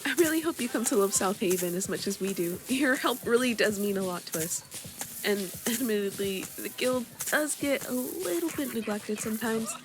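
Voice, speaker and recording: A woman voicing a friendly, busy young guild master who welcomes a new hire in a warm, slightly bossy way. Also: on the high side, expressive, moderate pace, natural voice, low-quality recording. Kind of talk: scripted voice drama. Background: faint background music.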